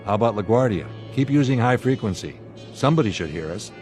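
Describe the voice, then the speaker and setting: An actor playing a steady airline captain in a radio drama, authoritative and clipped in his orders. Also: fairly low pitch, quick pace, music in the background, lightly acted. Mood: businesslike.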